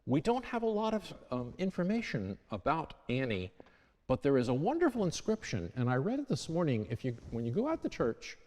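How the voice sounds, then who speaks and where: A man's voice: fairly high pitch, wildly swooping pitch, fairly quick, a little mellow. A man giving an informal history talk to a congregation in a church, talking conversationally and correcting himself as he goes. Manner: conversational, with restarts and self-corrections. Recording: long church reverb.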